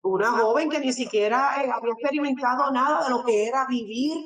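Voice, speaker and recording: A middle-aged woman from Puerto Rico with a Puerto Rican accent, giving a halting religious testimony that is full of fillers as an interview guest. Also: high voice, distant mic. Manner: halting, often tags sentences with a questioning filler. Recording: echoey room, speaker away from the mic.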